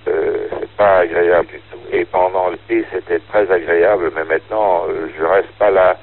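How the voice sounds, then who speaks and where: A man chatting casually as an amateur radio operator, haltingly searching for words, over a narrow radio link. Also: sits low, steady tone, gravelly. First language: French. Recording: tinny band-limited ham radio transmission.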